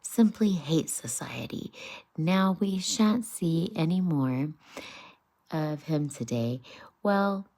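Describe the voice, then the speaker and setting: A woman's voice: low-pitched, expressive, slow pace, a little mellow, full character voice. A woman voicing a friendly male water rat in a children's storybook, cheerful, hearty and chatty.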